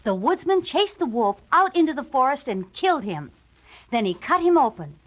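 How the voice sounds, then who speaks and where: A woman's voice: high voice, sing-song, normal speed, slight background noise, poor audio. A woman reading scripted narration for a children's read-along storybook.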